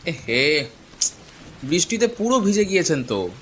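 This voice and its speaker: Male actor as kind but bossy cartoon villager, lively swooping intonation, raised pitch, solicitous, light rain noise. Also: normal speed. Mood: hospitable. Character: bossy but kind-hearted village man, elder brother type. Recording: faint rain noise behind voice.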